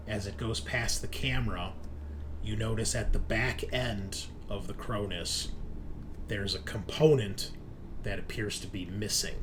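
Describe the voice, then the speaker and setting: A man improvising narration as game master of a tabletop roleplaying game, in a measured, halting, descriptive way. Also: lowish voice, noisy background.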